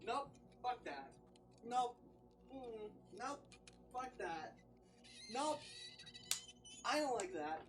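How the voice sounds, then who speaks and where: A livestreamer reading a visual novel aloud and commenting on it, expressive and sweary, with dramatic delivery. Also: mid-range voice, drawn-out words, pauses often. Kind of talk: livestream gameplay commentary mixed with read-aloud narration. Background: music.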